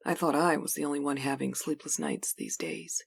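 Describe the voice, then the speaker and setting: A woman playing a gentle, worried wife in a comic book dub. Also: deep voice, wildly swooping pitch, a little fast, gravelly, husky, dry recording, heavily acted. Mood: concerned, tender.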